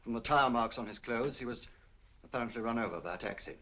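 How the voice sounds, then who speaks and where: An actor playing a brisk, matter-of-fact police inspector in a 1940s film. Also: male voice, medium pitch, bright, forceful tone. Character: brisk male police inspector, middle-aged. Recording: dull lo-fi 1940s film soundtrack.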